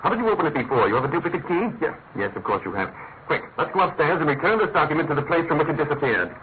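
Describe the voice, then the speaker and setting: Radio drama sleuth played by a man: English, quick, lightly pitched, commanding, cool, phone-like sound. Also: expressive, lightly acted. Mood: brisk, urgent. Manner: brisk, clipped, authoritative. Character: shrewd, commanding gentleman detective, coolly self-assured. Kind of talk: classic radio drama acting. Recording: thin phone-like radio sound, clear noise.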